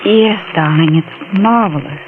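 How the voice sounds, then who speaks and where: Actress as a composed wife, tinny radio. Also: female voice, medium pitch, measured pace, lightly acted. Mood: placating. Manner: polite, restrained. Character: composed, placating wife of an invalid. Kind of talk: 1940s radio drama acting. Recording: tinny, slightly noisy old radio recording.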